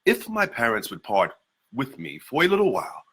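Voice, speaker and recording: A man's voice: mid-range voice, huge pitch swings, normal speed, fairly bright tone, slightly rough, full character voice. A man giving a dramatic reading as an African prince recounting his childhood. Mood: earnest. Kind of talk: dramatic reading of a historical narrative.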